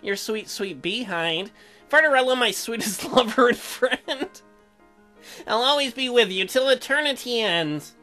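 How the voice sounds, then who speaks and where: A woman's voice: on the high side, expressive, pushed and bright. A woman voicing an earnest, apologetic young newlywed husband who is sweet on his wife, reading visual novel dialogue. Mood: tender, poetic. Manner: earnest, halting, self-deprecating. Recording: music behind the voice.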